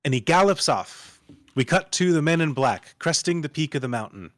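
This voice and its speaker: A man's voice: fairly high pitch, animated, moderate pace, fairly bright tone, no room sound. A man working as narrator, reading out scripted stage directions for a screenplay table read.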